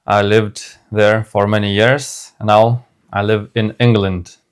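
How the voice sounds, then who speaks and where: A man with a Lithuanian accent co-hosts a relaxed podcast, his voice smooth and rather low. He talks very slowly and carefully, in short measured phrases, and Lithuanian is his first language. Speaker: podcast co-host. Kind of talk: casual conversational podcast.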